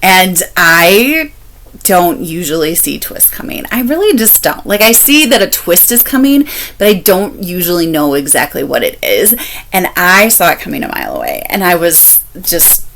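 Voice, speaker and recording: A woman chatting casually as a book-review vlogger, candid and expressive, repeating phrases for emphasis. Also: on the low side, moderate pace, slight background noise. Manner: candid, expressive, laughs while talking.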